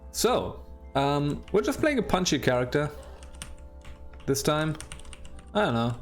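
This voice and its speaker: A man's voice: a little high, sing-song, unhurried, faint music behind. A man with a German accent giving casual, rambling commentary while playing a video game, chatty and self-deprecating. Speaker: let's play host.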